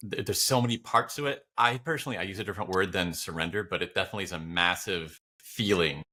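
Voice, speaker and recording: A man with a fairly low yet bright voice co-hosts a relaxed podcast conversation. He talks in a loose, reflective way, working through his ideas out loud. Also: fast talker. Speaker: podcast co-host.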